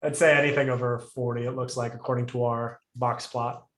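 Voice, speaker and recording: A man's voice: medium pitch, level tone, a little fast, fairly bright tone, echoey room. A male instructor on a video call walking through a coding lesson, thinking aloud with restarts and fillers. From Puerto Rico. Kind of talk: live coding lesson review.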